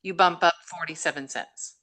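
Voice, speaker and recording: Woman on a city council, low pitch, bright, forceful voice, pushes her points emphatically. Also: moderate pace. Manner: forceful, emphatic, self-described big voice. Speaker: council member. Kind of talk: council workshop discussion.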